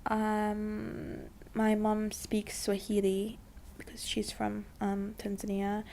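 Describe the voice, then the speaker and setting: A woman's voice: mid-range voice, completely flat delivery, takes it slowly, creaky, mellow, slight background noise. A young woman from Dubai, talking casually in an interview, full of likes and you-knows. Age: young adult.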